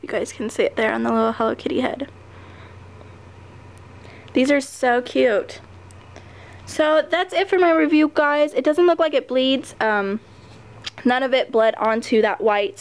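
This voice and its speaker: Chatty woman with a gravelly voice. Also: on the high side. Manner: chatty with frequent ums and little laughs. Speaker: nail art vlogger. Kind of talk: casual product review. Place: home table, hands on camera.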